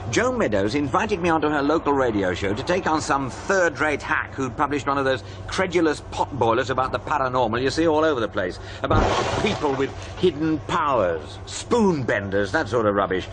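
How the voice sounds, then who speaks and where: An actor playing a wry, cynical paranormal sceptic, sardonic and world-weary in naturalistic audio drama dialogue. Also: male voice, medium pitch, lively intonation, brisk, few pauses, background noise, close to an everyday voice. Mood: bitter.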